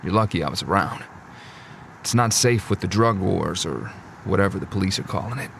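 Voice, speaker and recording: A low, gravelly middle-aged cabbie, played by a man in an audio drama. He chats in an unhurried, easygoing and friendly way, with faint noise in the recording. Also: lively intonation. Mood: curious, chatty. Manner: easygoing, casual, chatty. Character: friendly, chatty middle-aged male cab driver.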